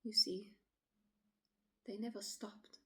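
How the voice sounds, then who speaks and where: A woman acting a shaken city woman in a dramatic stage monologue, pleading her account to police in a halting, often hushed voice. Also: medium pitch, steady tone, lightly acted. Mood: haunted, fearful. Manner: halting, hushed, often breathy near-whisper.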